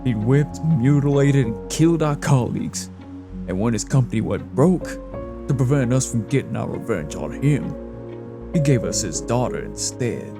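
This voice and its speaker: Comic-dub drama: male actor as bitter, blunt farm labourer, agitated, mid-pitched, clear music underneath. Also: animated, measured pace. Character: rough, bitter, vengeful male farm worker. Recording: voice over clear background music.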